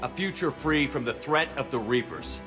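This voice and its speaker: A man's voice: mid-range voice, animated, normal speed, music in the background, close to an everyday voice. A man playing a steadfast, sober military commander in a video game cutscene. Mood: solemn, inspiring. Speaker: squad commander.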